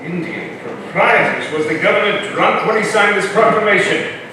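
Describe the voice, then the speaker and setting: A man's voice: high voice, normal speed, lightly acted. A man playing an indignant, declaiming colonial townsman in a staged reading of a historical play. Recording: very echoey room, distant, faint noise.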